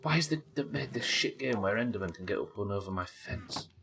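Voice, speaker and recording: A man's voice: on the low side, expressive, brisk, gravelly, fairly bright tone, faint music behind. A man with a head cold, sniffly as he casually narrates his live gameplay, thinking aloud and chatting to viewers and his in-game pets. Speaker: livestreaming gamer.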